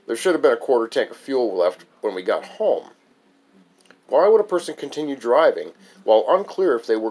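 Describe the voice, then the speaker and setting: A man's voice: mid-range voice, darkish tone. A man reading his own memoir aloud as a wry, amused storyteller. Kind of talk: memoir recitation, narrated reading.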